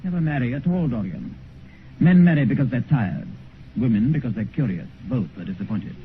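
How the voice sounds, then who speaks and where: An actor playing a cynical, witty, urbane gentleman with an upper-class English accent, amused and condescending, in a 1950s radio drama. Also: mid-range voice, animated, a little fast, lightly acted. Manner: languid, epigrammatic, amused condescension. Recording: tinny, noisy 1950s radio recording.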